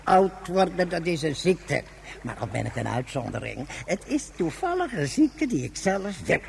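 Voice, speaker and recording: An actor playing a stubborn ageing hermit in a science fiction radio play, rambling and philosophising in gruff, folksy slang. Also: male voice, fairly high pitch, sing-song, moderate pace, slight background noise. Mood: musing, stubborn. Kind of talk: Dutch science fiction radio drama acting.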